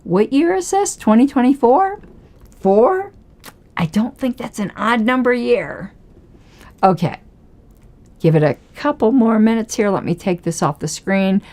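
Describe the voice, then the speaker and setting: A hoarse woman hosting a casual political commentary livestream, arguing her point with rhetorical questions. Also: a little high, lively intonation, unhurried, pauses often, darkish tone.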